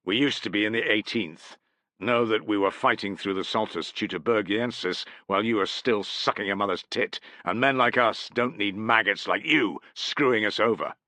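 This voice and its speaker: A man's voice: lowish voice, normal speed, dry recording. A man voicing a snarling veteran legionary. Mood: angry. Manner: snarling, shouting, foul-mouthed. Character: tough angry male Roman legionary veteran.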